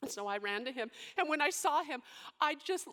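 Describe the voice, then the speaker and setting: A young woman playing Mary in a dramatic monologue, speaking emotionally and haltingly, often sinking into soft whispers. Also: sits high, animated. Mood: overwhelmed, reverent. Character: devoted young woman, biblical Mary, deeply moved.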